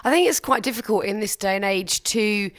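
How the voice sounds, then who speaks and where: A woman's voice: medium pitch, fairly quick. A woman hosting a casual conversation, agreeing often and sharing her own view. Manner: warm agreeing listener, frequent murmurs.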